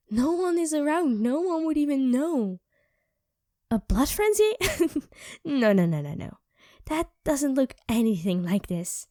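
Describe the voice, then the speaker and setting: A woman playing an irritable young vampire who craves blood, snappy and sarcastic in an ASMR roleplay. Her voice sits fairly high and swings widely in pitch, often dropping into soft whispers. The sound is dry and close, with no room around it. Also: dry recording.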